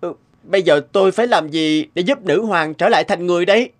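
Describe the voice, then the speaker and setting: A man playing an earnest, high-voiced young fairy-tale hero. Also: quick pace, close to an everyday voice. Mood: wondering. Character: earnest young merchant's son, later king. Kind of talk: animated fairy-tale dub. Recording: music behind the voice.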